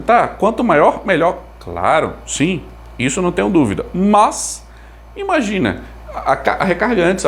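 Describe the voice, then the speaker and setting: A Brazilian man giving an informal explanation to viewers, thinking aloud in a conversational way with rhetorical questions. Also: a little high. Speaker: video creator explaining consumer telecom topics.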